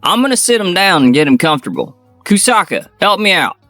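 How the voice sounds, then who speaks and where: A man voicing a young surgeon in a manga dub, talking in a firm, scolding but concerned way, in a higher voice than his own. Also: sing-song, brisk, fairly bright tone, no echo, soft background music. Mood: brisk. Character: firm, caring young male surgeon friend.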